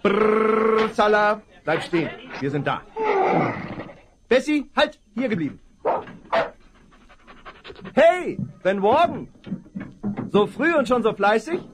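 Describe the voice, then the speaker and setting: An actor playing a brave, cheerful young rancher's son in a 1970s radio play. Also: male voice, high-pitched, sing-song, slow pace, pauses often, over loud music, exaggerated voice. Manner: cheerful, bold. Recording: noisy old vinyl.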